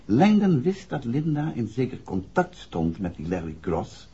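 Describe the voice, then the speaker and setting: An actor playing an assured detective husband explaining a case in a 1960s Dutch radio drama. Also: male voice, mid-range voice, very animated, a little slow, dark tone, breathy edge, heavily acted. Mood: emphatic, explaining. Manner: expository, assured, conversational. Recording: muffled, dull 1960s radio recording.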